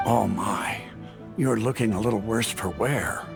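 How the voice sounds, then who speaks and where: A man's voice: fairly low pitch, unhurried, creaky, music in the background. An actor voicing an easygoing, caring male villager in an anime game, familiar and coaxing in manner. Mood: concerned, friendly.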